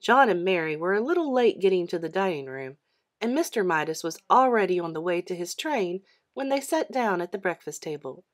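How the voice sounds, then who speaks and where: A woman's voice: fairly low pitch, very animated, fairly quick. A woman narrating a children's book as a storyteller reading aloud.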